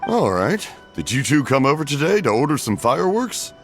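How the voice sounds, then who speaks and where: A man's voice: mid-range voice, sing-song, fairly quick, fairly bright tone. An actor voicing a kindly, genial middle-aged father in a video game. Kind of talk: English video game voice acting. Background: faint game music.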